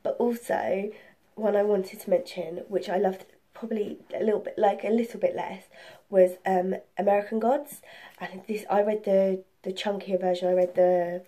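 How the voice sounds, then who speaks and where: A young woman chatting casually and excitedly about books as a vlog host, rambling and correcting herself, slightly congested with a cold. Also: medium pitch, normal speed. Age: young adult. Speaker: book reviewer and video host. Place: room at home.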